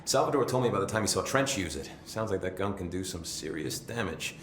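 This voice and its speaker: An actor playing a chatty, nervy, loyal maintenance security chief in a video game, rambling informally with wry swearing. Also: male voice, sits low, lively intonation, fairly quick, roomy sound, slight background noise. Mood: wry, candid.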